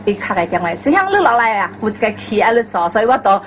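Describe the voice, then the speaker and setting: A woman's voice: medium pitch, sing-song, fast, noisy background. An older woman, a radio drama performer, reminiscing casually and eagerly in an interview, repeating phrases emphatically.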